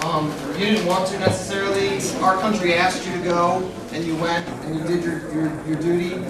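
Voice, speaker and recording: A middle-aged man hosting an event, speaking earnestly to the audience with halting fillers. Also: sits high. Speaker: host. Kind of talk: speech to an audience. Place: large community hall. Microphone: handheld mic, far camera. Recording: echoey hall, distant crowd.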